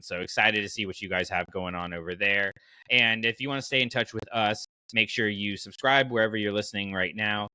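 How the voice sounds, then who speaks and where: A man's voice: lowish voice, bright, forceful tone, no echo, poor audio. A man hosting a podcast in a casual, enthusiastic and conversational way. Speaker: podcast host.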